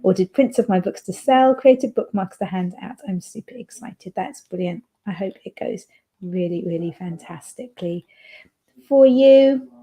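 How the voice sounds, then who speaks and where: A woman's voice: mid-range voice, normal speed, warm tone, rough recording. A woman hosting a writing livestream, chatting casually and playfully as she reads chat messages aloud.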